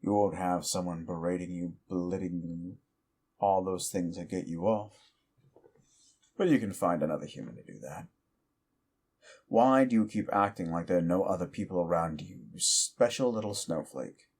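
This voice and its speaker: A man voicing an ancient, petty male demon who mocks a mortal in a slow, deep drawl, in audio roleplay. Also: a little mellow. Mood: taunting.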